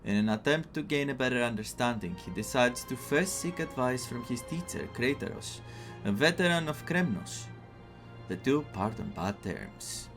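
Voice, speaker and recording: A young man with a Greek accent streaming a game, chatting excitedly, laughing often and reading quest text aloud. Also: mid-range voice, normal speed, faint music behind.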